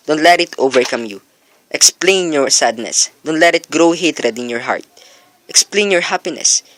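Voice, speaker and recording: A man's voice: fairly high pitch, fairly bright tone, no echo, low-quality recording. A man playing an earnest young son in a scripted radio drama monologue. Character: earnest young son reading his farewell letter.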